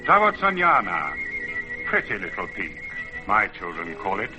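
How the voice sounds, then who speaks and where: A man's voice: deep voice, wildly swooping pitch, normal speed. A man playing an ancient, proud mountain spirit, speaking solemnly and theatrically in old-time radio drama. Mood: solemn, grand. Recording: dull vintage radio recording, music behind.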